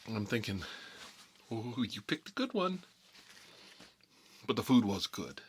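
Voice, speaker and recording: A man's voice: fairly low pitch, big pitch swings, unhurried, slight background noise. A man playing a chatty, boastful American mob boss who rambles and repeats himself in a casual ASMR role-play monologue. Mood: chatty, satisfied. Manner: folksy, repetitive, rambling, emphatic. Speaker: ASMR storyteller playing a recurring character.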